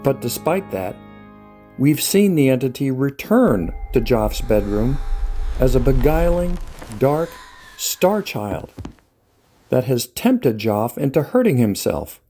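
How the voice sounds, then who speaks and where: A man hosting and reading scripted narration to introduce a dramatic scene, in a measured storyteller's manner. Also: mid-range voice, big pitch swings, mellow, soft background music. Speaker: host, writer-director.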